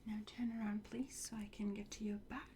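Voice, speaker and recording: A woman whispering softly and breathily in an ASMR roleplay, playing a courteous, instructive fitting attendant. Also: medium pitch, little pitch movement, brisk, background noise. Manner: soft, polite, instructive. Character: courteous attendant at a time travel suit fitting.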